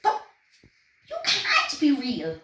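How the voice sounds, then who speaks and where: Woman as an excitable high-voiced little boy, wide-eyed. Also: takes it slowly, exaggerated voice. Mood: disbelieving. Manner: breathless wonder, exclaiming. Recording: roomy echo, background noise.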